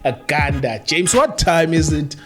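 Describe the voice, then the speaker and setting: A man with a South African accent who hosts an interview podcast, talking casually and warmly with lots of laughs and "man". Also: fairly high pitch, animated, measured pace, faint music behind. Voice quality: hype-man, laughs often, affectionate filler "man". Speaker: podcast host.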